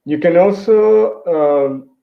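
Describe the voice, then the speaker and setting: A man's voice: high-pitched, slow, vocal fry. Hesitant man. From Mexico. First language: Spanish. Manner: hesitant, many restarts and fillers. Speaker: conference speaker. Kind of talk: virtual tech talk with slides. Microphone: computer mic on a video call. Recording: roomy, dull lo-fi sound.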